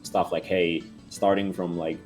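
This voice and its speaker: Casting: male stream guest, very low voice, hesitant stop-start casual talk, soft music behind. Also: flat delivery. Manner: halting, hesitant delivery. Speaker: livestream guest. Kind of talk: casual chat.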